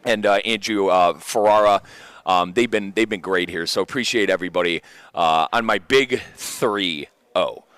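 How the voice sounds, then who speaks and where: A man's voice: low-pitched, normal speed, fairly bright tone, smooth, no room sound. A thirty-year-old man hosting a sports radio show, chatting casually and animatedly, often repeating and stumbling over his phrases. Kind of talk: casual radio chat, personal.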